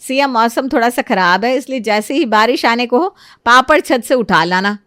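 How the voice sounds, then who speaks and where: A woman voicing a kind, motherly middle-aged aunty with a plaintive manner in an animated moral story. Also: fairly high pitch, moderate pace, no room sound, studio quality. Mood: warm, obliging.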